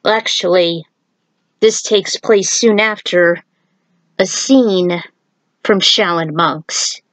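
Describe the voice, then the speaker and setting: A woman's voice: lowish voice, slow pace, fairly bright tone, low-quality recording. A woman narrating a story as its author, with casual asides. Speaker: fan fiction author and reader.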